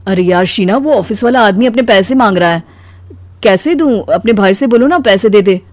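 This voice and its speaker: A woman voicing a weary, put-upon, soft-hearted husband in an animated story, with a plaintive manner. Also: mid-range voice, normal speed, no room sound, natural voice. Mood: fed up.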